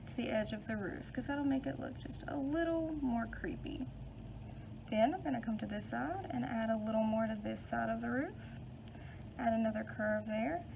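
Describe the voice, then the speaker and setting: A woman's voice: fairly high pitch, gravelly, noisy background. A young adult woman teacher reading a children's story aloud and then giving a patient, step-by-step drawing lesson. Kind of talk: read-aloud and art lesson.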